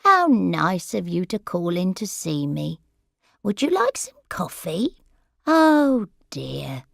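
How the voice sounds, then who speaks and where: Woman doing a confused old lady, slow and wandering, voice bouncing all over, dry sound. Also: fairly low pitch, huge pitch swings, vocal fry, dry recording, exaggerated voice. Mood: bemused. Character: elderly woman, muddled and easily upset.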